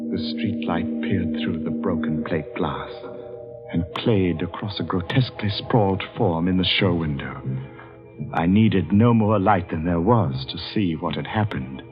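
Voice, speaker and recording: A man's voice: sits low, a little slow, over music. An actor playing a haunted, anxious young man, brooding and jittery, in the first-person style of 1940s radio drama. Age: young adult. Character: haunted, anxious young man narrating his own doom. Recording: muffled 1940s radio recording.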